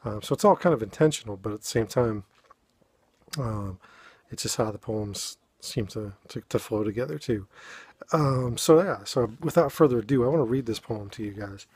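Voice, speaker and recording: A man with a New England accent from Boston, a poet talking casually to his viewers in a halting way with many fillers, then reading poems aloud. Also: fairly low pitch, darkish tone, husky, dry recording. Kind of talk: casual chat and poetry reading.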